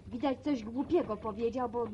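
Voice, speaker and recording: Radio serial role, female actor: homely country gossip, high voice, dull recording with background noise. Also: moderate pace, close to an everyday voice. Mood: gossipy, confiding. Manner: gossipy, storytelling, plain-spoken. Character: gossipy village woman, homely and plain-spoken. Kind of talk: radio drama acting. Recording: dull lo-fi recording, noisy scene sounds.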